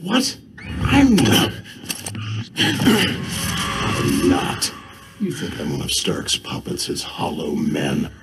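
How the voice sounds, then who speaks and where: A man's voice: rumbling low, huge pitch swings, very slow, long pauses, music in the background, full character voice. An actor playing a menacing male robot villain with a mocking, theatrical manner and sudden outbursts, heard on a film soundtrack. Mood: erratic. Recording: film soundtrack with loud score.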